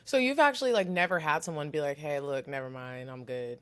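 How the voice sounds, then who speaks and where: A woman's voice: low-pitched, a little fast, clean tone. A woman co-hosting a podcast, asking questions and sharing stories in a casual, conversational way. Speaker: podcast co-host.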